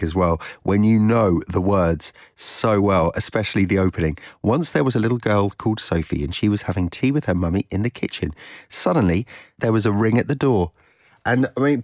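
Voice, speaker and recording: A man with a fairly low voice shares a personal anecdote like a presenter. The delivery is chatty storytelling, recorded dry without room echo. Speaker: radio presenter.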